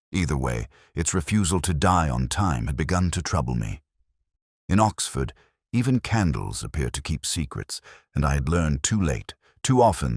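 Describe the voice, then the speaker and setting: A man reading first-person mystery narration in a measured, brooding, literary manner. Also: rumbling low, no echo, studio quality.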